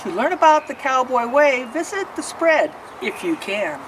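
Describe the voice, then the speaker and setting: A woman's voice: high-pitched, sing-song, normal speed, recorded from a distance, background noise. Woman reading a picture book from afar. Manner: sing-song rhyming reading with asides explaining pictures. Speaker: storybook reader.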